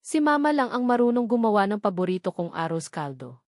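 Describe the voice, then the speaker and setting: A woman's voice: mid-range voice, sing-song, fairly quick, dry recording, clean studio sound, close to an everyday voice. A woman voicing a stubborn, grieving young boy in an audio drama, speaking in a hard, defiant way. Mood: defiant, hurt.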